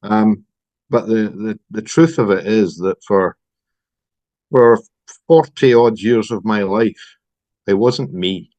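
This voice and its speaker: Scottish man, middle-aged, low voice, dry sound, reflective, halting, lots of ums. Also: darkish tone, dry recording. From Scotland. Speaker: podcast guest. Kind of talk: personal recovery story.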